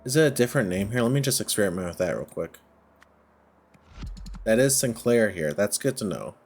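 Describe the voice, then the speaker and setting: A man giving casual gameplay commentary, thinking out loud in short, halting phrases. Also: fairly low pitch, very animated, slight background noise. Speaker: let's play host. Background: music.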